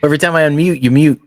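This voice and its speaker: A man co-hosting a podcast in casual chat, halting and stacking fillers before he gets his question out. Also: a little high, no echo, studio quality.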